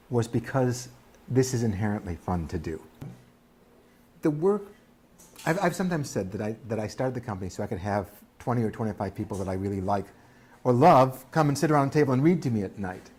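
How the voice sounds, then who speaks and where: A husky-voiced man speaking in an interview as a company founder, earnest, in halting phrases. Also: medium pitch, sing-song, darkish tone. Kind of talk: documentary interview.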